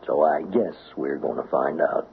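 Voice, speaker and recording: An actor playing a dry, inquisitive small-town sheriff, blunt and questioning, in an old-time radio drama. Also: male voice, a bass voice, normal speed, vocal fry. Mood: dry, probing. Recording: noisy, tinny old radio recording.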